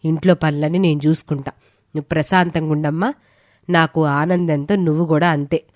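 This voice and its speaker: A woman's voice: low voice, steady tone, brisk, a little mellow, dry recording, studio quality, natural voice. A woman playing a supportive middle-aged mother-in-law in a story, reassuring and motherly.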